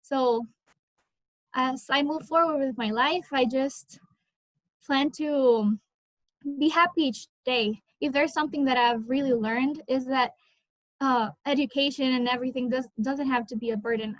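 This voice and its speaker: A woman who learned English as a second language, giving a personal speech in short, measured phrases with pauses at an online event. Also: high-pitched, fairly even intonation, dry recording, poor audio. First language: not English. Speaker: scholarship recipient. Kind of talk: personal speech about her life and goals.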